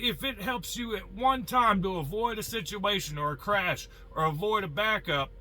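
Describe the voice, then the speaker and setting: American trucker guy, pretty high voice, super sharp and forceful, blunt folksy rant to viewers. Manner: blunt, folksy, mild swearing, rhetorical questions. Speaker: truck driver giving advice. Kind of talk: casual opinionated monologue to viewers.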